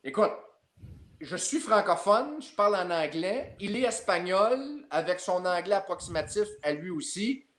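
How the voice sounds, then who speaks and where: A man with a Québécois French accent, a sports journalist guest telling a lively story in a podcast chat. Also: high-pitched, normal speed. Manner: lively, builds up his story with repeated tags.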